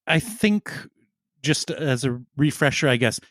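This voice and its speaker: A man's voice: medium pitch, expressive, a little slow, a bit bright, dry recording, studio quality. A man co-hosting a casual film podcast, speaking in a conversational, agreeable way. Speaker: podcast co-host.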